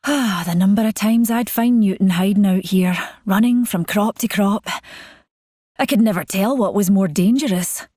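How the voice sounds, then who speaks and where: A woman's voice: mid-range voice, normal speed, warm tone, no room sound, clean studio sound, close to an everyday voice. An actress voicing a whimsical, nostalgic older woman scientist, who rambles fondly. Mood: wistful. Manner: rambling, wistful, chatty.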